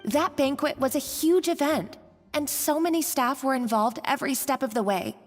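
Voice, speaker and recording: A woman's voice: high-pitched, normal speed, in a large hall, soft background music, lightly acted. A woman voicing a nervous, apologetic young female cook who stammers near tears in a video game. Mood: earnest.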